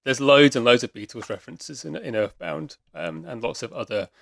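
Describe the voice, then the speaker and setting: A man with a gravelly, creaky edge hosts a relaxed podcast quiz, talking in a dry, close-up way. He explains things at length and often stumbles over repeated words as he works out what to say. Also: mid-range voice, normal speed, no echo. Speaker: quiz host. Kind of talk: casual podcast chat.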